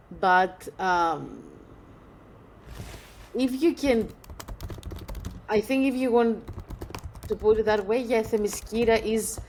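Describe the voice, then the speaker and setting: A woman's voice: mid-range voice, a little mellow, a little hiss or hum, poor audio. A woman from Greece, an English speaker, answering interview questions thoughtfully and searching for words. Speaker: filmmaker guest.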